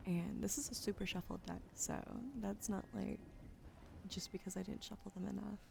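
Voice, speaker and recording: A young woman streamer, chatting softly in an ASMR style and often slipping into whispers while giving tarot readings on a close microphone. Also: medium pitch, little pitch movement, moderate pace, slight background noise. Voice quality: gravelly fry, often whispered. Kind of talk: casual ASMR chat, soft-spoken.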